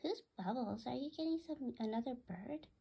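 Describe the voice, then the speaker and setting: A woman voicing excitable, insistent pet cockatiels in a read-aloud story. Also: fairly high pitch, moderate pace, vocal fry, slightly rough, heavily acted. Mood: indignant.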